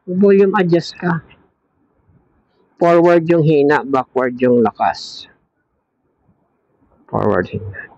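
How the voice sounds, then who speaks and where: A man's voice: on the high side, steady tone, slow pace, long pauses, darkish tone, no room sound, muffled recording. A man with a Filipino accent in casual banter, talking into a helmet intercom headset as a vlogger.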